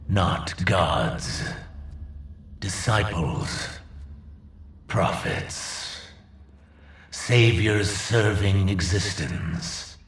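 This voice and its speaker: An actor playing an ancient alien villain in a video game, a grandiose, menacing zealot who speaks in theatrical, measured oratory. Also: male voice, sits low, a bit bright, exaggerated voice. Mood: solemn zeal. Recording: cavernous echo, distant, music underneath.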